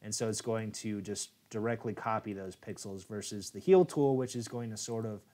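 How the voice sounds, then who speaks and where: A man's voice: fairly low pitch, moderate pace, dark tone. An American man hosting a live photo editing tutorial stream, talking casually and chatty with plenty of fillers while he works. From United States. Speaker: software tutorial host.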